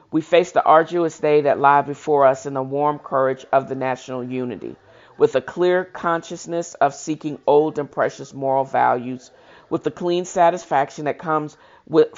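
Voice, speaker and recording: A person reading a historical speech aloud with informal commentary, stumbling now and then and correcting themselves, in a dull, lo-fi recording. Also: medium pitch. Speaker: host of a reading show. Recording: dull lo-fi sound.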